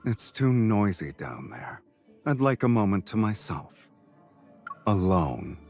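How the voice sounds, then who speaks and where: A very deep male voice with a lively, varied melody , acted by a man. He is a suave romantic lead from an audio drama, amused and unhurried, teasing as he talks. Music plays behind him. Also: heavily acted. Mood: weary, aloof.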